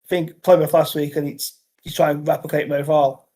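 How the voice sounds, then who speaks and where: Chatty, slightly high-voiced young English bloke. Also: male voice, brisk. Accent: Northern English, Scouse-tinged. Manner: excitable, bouncy, chatty. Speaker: podcast co-host. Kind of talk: casual football chat.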